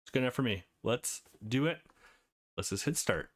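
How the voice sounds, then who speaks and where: A man's voice: mid-range voice, expressive, moderate pace. A man hosting a let's play, chatting casually and upbeat and reading on-screen text aloud. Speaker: let's player host.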